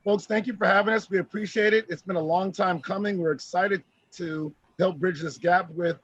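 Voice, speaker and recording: A man's voice: sits high, steady tone, moderate pace, a bit bright, no echo. An American man speaking briefly as a guest panelist on an online conference. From United States. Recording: dull lo-fi video-call audio.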